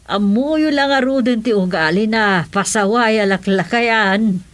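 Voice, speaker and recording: A woman's voice: mid-range voice, measured pace, natural voice. A woman playing a fretful village mother in a conversational radio drama. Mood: worried. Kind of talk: radio drama dialogue in Ilocano.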